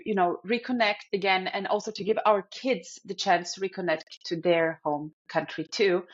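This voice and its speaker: A woman's voice: mid-range voice, muffled recording. A woman who grew up in Germany, answering as an interview guest in a friendly way, with some hesitant fillers. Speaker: guest, feng shui practitioner.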